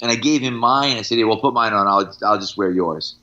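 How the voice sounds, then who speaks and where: A man's voice: on the low side, a little fast, bright, forceful tone, highs cut off. A middle-aged man, a strength coach, talking casually on a podcast in anecdotes and examples, with firm opinions.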